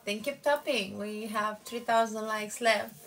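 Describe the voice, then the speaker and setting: A woman's voice: mid-range voice, steady tone, a little slow, a bit bright. A woman in her thirties from Moldova with a Romanian accent, chatting casually with viewers on a livestream from her bedroom, answering comments. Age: 30s. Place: bedroom in front of padded headboard, indoors. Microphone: phone camera at arm's length.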